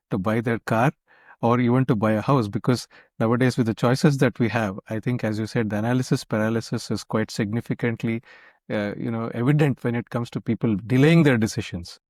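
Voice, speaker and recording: A man's voice: mid-range voice, steady tone, fairly quick, a little mellow, no echo, studio quality. Polite male interviewer. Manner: courteous, deferential.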